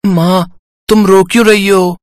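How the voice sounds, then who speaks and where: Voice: medium pitch, little pitch movement, unhurried, no echo, clean studio sound, natural voice. An adult performer voicing an innocent, plaintive six-year-old boy for a cartoon story. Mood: worried.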